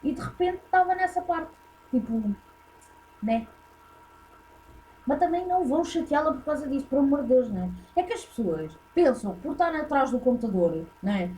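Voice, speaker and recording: A woman's voice: high voice, expressive, moderate pace, mellow, poor audio. A woman with a European Portuguese accent, hosting a casual reaction video and giving blunt, opinionated commentary full of fillers.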